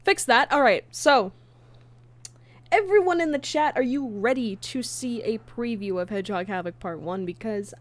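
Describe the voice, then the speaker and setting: A woman's voice: fairly high pitch, lively intonation, normal speed. A woman chatting casually on a livestream as its host and creator. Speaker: streamer, fan comic dub creator.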